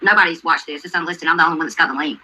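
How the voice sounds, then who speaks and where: A woman's voice: low-pitched, very fast, bright, forceful tone, a little hiss or hum, poor audio. A woman hosting a livestream, commenting on a video and answering chat in an insistent way, repeating herself and asking questions. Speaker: livestream host.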